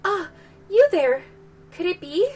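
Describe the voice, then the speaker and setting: A woman's voice: very high voice, sing-song, slow pace, dark tone, pitched above the performer's own voice, exaggerated voice. A woman voicing an earnest, eager young woman from a video game, dramatic and exclaiming. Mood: excited, hopeful. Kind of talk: reading game dialogue aloud in character. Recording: music behind the voice. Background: game music.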